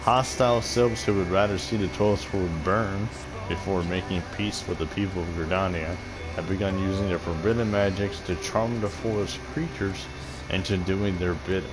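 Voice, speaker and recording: A man with a deep voice casually commenting on a game and reading quest text, rambling and swearing freely. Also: fairly even intonation, moderate pace, music in the background, rough recording. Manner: profane, rambling, laughs often. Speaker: gaming video host.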